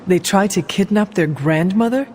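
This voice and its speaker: A man acting a reserved, higher-voiced young hero. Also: normal speed, darkish tone, a little hiss or hum, lightly acted. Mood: earnest. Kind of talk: video game cutscene acting. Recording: music behind the voice.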